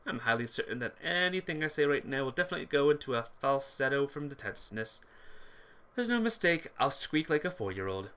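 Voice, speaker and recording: Lively, bright-voiced game streamer reading aloud. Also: medium pitch, brisk. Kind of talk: game playthrough narration with chat asides.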